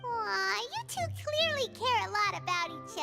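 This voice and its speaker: A woman voicing a small, bubbly, childlike fairy companion with a squeaky voice in a video game. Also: very animated, a little slow, vocal fry, over loud music, heavily acted. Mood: fond.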